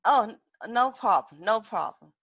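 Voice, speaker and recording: Woman host on a video call, hesitant and halting delivery, muffled lo-fi sound. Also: a little high, lively intonation, a bit bright, slightly rough. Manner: hesitant, many restarts and fillers. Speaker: host of an online poetry and translation event. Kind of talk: casual online discussion.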